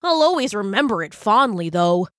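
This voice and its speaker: A woman's voice: medium pitch, sing-song, moderate pace, fairly bright tone, no echo, clean studio sound. A woman voicing an enthusiastic, sentimental male hermit crab in a chatty, eager manner for a storybook. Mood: wistful.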